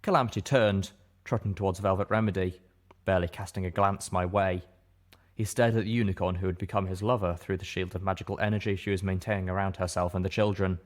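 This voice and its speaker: A man narrates scripted fiction in the first person. His voice is fairly low. The delivery is that of an audiobook reader telling a story. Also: fairly even intonation, fast.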